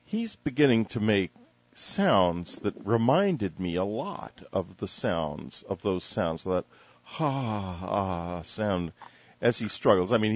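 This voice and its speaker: An older man hosting an interview show, talking conversationally with deliberate, emphatic phrasing. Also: lowish voice, dry recording, dull audio. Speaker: radio show host interviewing a guest.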